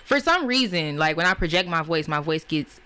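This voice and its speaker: A woman's voice: low voice, moderate pace, clear, resonant tone. A woman, a rapper guesting on a podcast, talking bluntly and laughing often into a studio mic. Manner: animated, blunt, laughs a lot. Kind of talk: casual interview chat. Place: home basement studio. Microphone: studio mic on boom arm.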